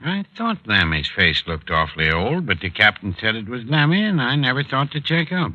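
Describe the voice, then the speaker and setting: A man's voice: medium pitch, big pitch swings, normal speed, dry recording. An actor playing a folksy, plainspoken townsman in an old-time radio mystery, on a dull vintage recording. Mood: puzzled, curious. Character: folksy male townsman called Dad, helping an investigation.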